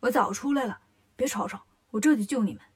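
A cocky male monkey king, voiced by a woman storyteller. He is quick-witted and bossy, talking in brisk, colloquial phrases as the hero of a children's storybook. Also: fairly high pitch, breathy edge. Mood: urgent.

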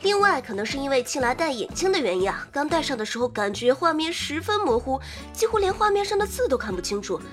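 A woman host reading scripted game news in an expressive presenter's manner, slipping in wry, playful asides. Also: high voice, fast, few pauses. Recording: music under the voice. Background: background music.

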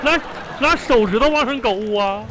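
High-pitched, exasperated Northeastern Chinese husband. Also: male voice, moderate pace, noisy background. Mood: straining, scolding. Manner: stammering, repetitive, complaining. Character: exasperated, grumbling middle-aged husband, long married. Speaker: radio show host and comedy actor. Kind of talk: live comedy radio drama.

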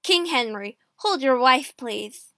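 A woman's voice: high voice, lively intonation, takes it slowly, bright, forceful tone, no room sound, studio quality, full character voice. A woman voicing an angry, commanding nobleman in an audiobook story, barking out threats and orders. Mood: angry, menacing. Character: angry menacing nobleman with a knife, gruff and commanding.

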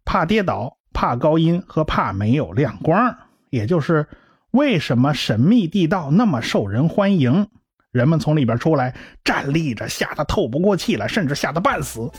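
A man's voice: a little high, slightly rough, full character voice. A man voicing an earnest, learned male scientist in an amateur radio drama, explaining at length in a lecturing way. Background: faint music.

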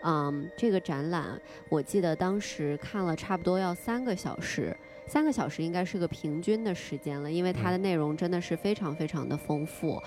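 A woman's voice: fairly low pitch, normal speed, few pauses, darkish tone. A woman co-hosting a casual podcast, conversational and openly enthusiastic in the way she talks. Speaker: podcast co-host. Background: music behind the voice.

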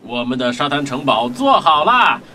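A lively boy acted by a man in a children's radio play. The voice sits a little high and moves expressively, with clear noise behind it. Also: moderate pace. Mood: excited. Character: Jack, lively young boy playing on the beach. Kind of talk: children's radio drama acting.

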